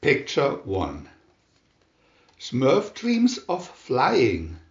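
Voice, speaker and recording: Man, children's story narration, low-pitched, lingering delivery, expressive, dull roomy recording. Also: extremely slow, vocal fry. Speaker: storybook reader.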